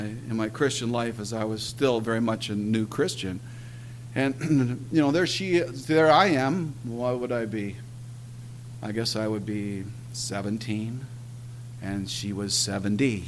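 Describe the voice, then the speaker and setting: A man preaching a sermon and telling his own story, earnest and emphatic, often clearing his throat and pausing on "uh". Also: medium pitch, slight background noise. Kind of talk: sermon with personal testimony.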